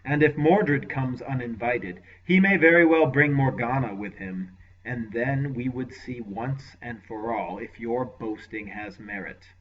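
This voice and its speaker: A man's voice: medium pitch, a little slow, close to an everyday voice. A man voicing an imperious villainous lord. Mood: imperious scheming. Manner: commanding, contemptuous. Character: ruthless scheming male warlord lord, venomous and imperious. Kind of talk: audiobook character voice. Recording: lo-fi dull recording.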